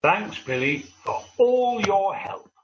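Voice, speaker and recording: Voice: medium pitch, very animated, takes it slowly, highs cut off, a little hiss or hum. A reader voicing an older, jolly delivery man in a children's storybook, chatty and earnest. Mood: warm, thankful.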